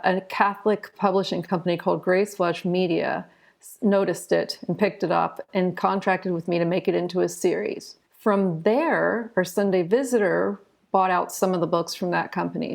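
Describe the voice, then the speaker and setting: American woman with a creaky voice. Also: lowish voice, normal speed. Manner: informal, chatty, explains through anecdotes. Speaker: interview guest, children's author and illustrator. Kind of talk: conversational interview answers, storytelling.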